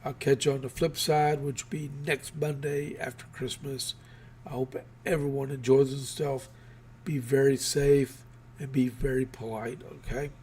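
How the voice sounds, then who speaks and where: A man's voice: medium pitch, flat delivery, normal speed, darkish tone, slightly rough. A man from Texas hosting his own political news show, talking casually with many fillers and restarts while losing his voice.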